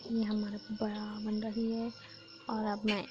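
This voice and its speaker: A young woman from Chhattisgarh, hoarse from a sore throat, chatting casually to her viewers in a home vlog. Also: medium pitch, completely flat delivery, unhurried, dull audio, background noise. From Chhattisgarh, India. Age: young adult. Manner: chatty, keeps addressing viewers as friends. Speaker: vlogger. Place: village home during a festival.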